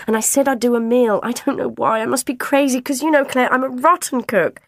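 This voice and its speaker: A woman's voice: a little high, a little fast, no echo, clean studio sound, voice pushed up. A woman voicing a harassed, flustered mother who hurries her children along.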